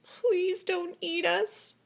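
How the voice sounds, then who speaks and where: A woman voicing neighborhood dogs in a children's story, crying out in an exaggerated, drawn-out way. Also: very high voice, steady tone, vocal fry, pitched above the performer's own voice, exaggerated voice. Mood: terrified. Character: pack of mocking, easily frightened neighborhood dogs.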